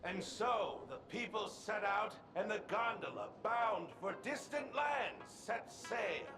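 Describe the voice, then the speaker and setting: A showman storyteller for a game, high in pitch and swinging widely through the range. He declaims a mythic tale with playful mockery and drawn-out relish, over clearly audible music. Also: expressive. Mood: grand narration. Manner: theatrical, drawn-out, declamatory delivery. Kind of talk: dramatic storytelling narration. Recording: music behind the voice.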